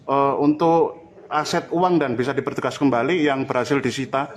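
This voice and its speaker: A man's voice: mid-range voice, fairly even intonation, normal speed, a little hiss or hum. A man asking formal, somewhat hesitant questions as a reporter. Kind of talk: press conference questioning.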